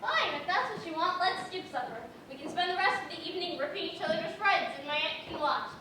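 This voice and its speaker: An actress playing a haunted, fearful young wife with a bitter, cutting delivery. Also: female voice, extremely high pitch, lively intonation, fairly quick. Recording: very echoey, distant mic, clear background noise.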